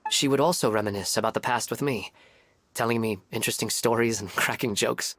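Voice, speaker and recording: An actor playing an earnest, wistful widowed father in a video game, speaking in a measured, reflective way. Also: mid-range voice, a bit bright, a little hiss or hum, lightly acted. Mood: wistful, fond. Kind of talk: voice-acted game story dialogue.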